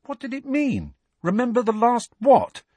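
A man's voice: very high voice, huge pitch swings, normal speed, mellow, slightly rough, no room sound, pitched above the performer's own voice, heavily acted. A man voicing an insistent, defiant young boy who presses urgently, in an audiobook story. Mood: insistent, demanding.

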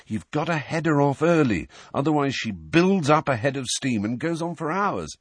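A man's voice: on the high side, very animated, normal speed, breathy edge, dry recording, pitched above the performer's own voice. A man voicing a teenage boy who talks in a knowing, sardonic way. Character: mischievous teenage boy, a twin.

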